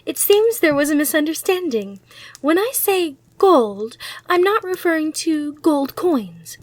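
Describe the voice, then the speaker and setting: A woman's voice: high-pitched, big pitch swings, measured pace, no room sound, voice pushed up. A woman voicing a sly, courteous female demon hotel receptionist in an ASMR roleplay, with reassuring customer-service patter. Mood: apologetic, patient.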